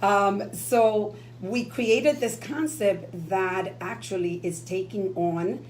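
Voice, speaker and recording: A woman's voice: medium pitch, takes it slowly, a little mellow, slight background noise, rough recording. A woman from the Dominican Republic co-hosting a radio talk show, earnest and enthusiastic. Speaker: radio co-host.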